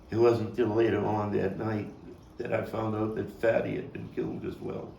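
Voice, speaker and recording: A haunted Brooklyn man acted by a man on stage confesses in a low, gravelly voice. He is brooding and halting, full of bitterness and anguish. The theatrical monologue is picked up from a distance in a roomy space. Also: warm tone. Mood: grim reminiscence. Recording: roomy, distant mic, faint noise.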